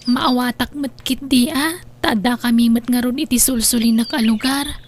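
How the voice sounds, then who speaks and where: Over faint noise and birdsong, a high-pitched young daughter acted by a woman speaks with an Ilocano accent. She is dutiful and lonely, in a secluded radio-style drama world. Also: fairly even intonation, normal speed, lightly acted. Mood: lonely, wistful. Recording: noisy track with birdsong.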